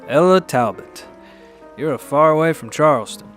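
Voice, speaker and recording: A man's voice: a little high, lively intonation, moderate pace, a bit bright, faint music behind. An actor playing a suave, mysterious man in a radio drama, polite, wry and teasing as he talks. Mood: teasing, menacing. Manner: polite, wry, smooth-talking.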